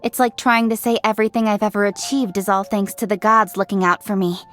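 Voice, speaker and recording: A woman voicing a brisk, self-assured young official. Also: mid-range voice, fairly even intonation, a bit bright, soft background music, natural voice. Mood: confident. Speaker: game character voice lines. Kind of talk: game voice-over.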